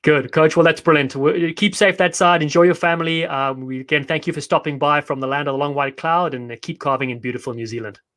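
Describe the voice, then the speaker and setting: A man's voice: on the high side, fairly even intonation, fast, hardly any pauses, fairly bright tone. A man hosting a live online show, chatting upbeat and friendly with his guests. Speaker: host. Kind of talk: casual live show interview.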